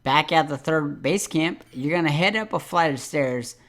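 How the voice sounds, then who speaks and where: Game walkthrough host, casual guide narration, mid-pitched voice. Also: moderate pace, a bit bright.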